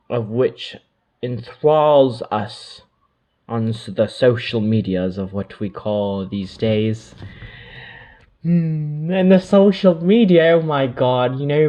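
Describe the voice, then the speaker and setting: A man giving a channeled spiritual monologue, speaking as otherworldly beings in a drifting, mystical way, with pauses and soft trailing asides. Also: mid-range voice.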